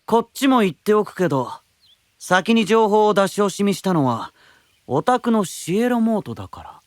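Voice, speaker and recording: A man's voice: sits high, big pitch swings, moderate pace, no room sound. A man playing a proud, prickly young knight and elder brother, cool and snippy, in an anime-style voice drama. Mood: defensive, snippy. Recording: music under the voice.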